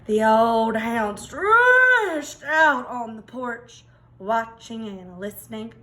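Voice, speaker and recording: A woman reading a children's storybook aloud like a teacher, expressive, with dramatic emphasis. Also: a little high, slow pace.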